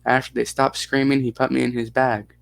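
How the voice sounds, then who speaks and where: A man voicing a frightened seven-year-old Russian girl who speaks halting broken English in a Russian accent. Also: medium pitch, flat delivery, gravelly, fairly bright tone, no echo. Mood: frightened, haunted. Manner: halting, simple broken sentences.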